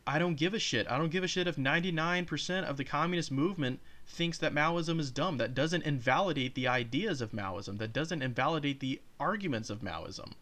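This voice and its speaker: A man's voice: fairly high pitch, fairly quick, few pauses, fairly bright tone. A man hosting his own channel, giving heated, sarcastic commentary on a video he is reacting to, swearing freely. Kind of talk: commentary over a played clip.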